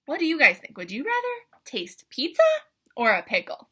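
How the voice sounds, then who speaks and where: A woman reading a picture book aloud to young children like an excited teacher, with animated wonder, questions and asides in a breathy voice. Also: high-pitched, normal speed.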